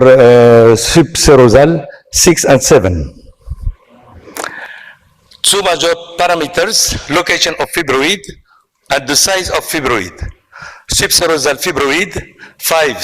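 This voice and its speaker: Halting Moroccan-accented man lecturing on medicine. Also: on the high side, poor audio. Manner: halting, with frequent pauses and hesitations. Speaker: physician giving a conference lecture. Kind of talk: medical presentation. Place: online conference talk.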